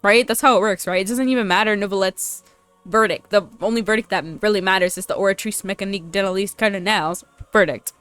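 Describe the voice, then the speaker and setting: A woman's voice: mid-range voice, quick pace, a bit bright, clear, resonant tone, soft background music. A woman giving casual, slangy, teasing commentary as she plays through a game. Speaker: streamer reacting to a game.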